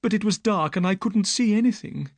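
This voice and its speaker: A man's voice: high-pitched, brisk, no echo, pitched above the performer's own voice. A man voicing a frightened young woman who pleads for help. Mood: fearful. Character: frightened young woman telling her story.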